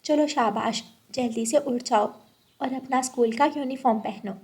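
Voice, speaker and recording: Cartoon mother bird played by a woman, higher register, patient, gentle, coaxing and motherly. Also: moderate pace, slightly rough.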